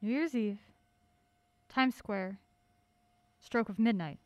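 A woman's voice: mid-range voice, slow pace, darkish tone, natural voice. A woman playing a curious, polite young woman drawn into a mystery in an audio drama. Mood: uneasy.

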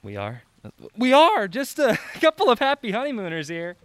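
Flirty teasing road trip guy man acting, smooth clear voice, close dry sound, radio play. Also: high-pitched, wildly swooping pitch, normal speed, dry recording. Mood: playful. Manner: teasing, arch. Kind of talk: audio drama acting.

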